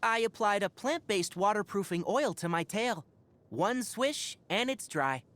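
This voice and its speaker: An actor playing a young fox-eared forest ranger in a video game, scholarly and patient, speaking in an articulate, instructive and gently wry way. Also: male voice, sits high, lively intonation, a little slow. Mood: light, friendly. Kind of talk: scripted game character voice lines.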